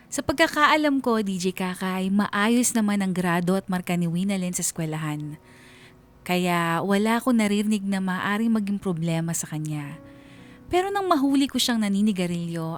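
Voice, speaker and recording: A woman's voice: medium pitch, close to an everyday voice. A woman playing a troubled elder sister, earnestly narrating her story in a Filipino radio drama. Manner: earnest, concerned.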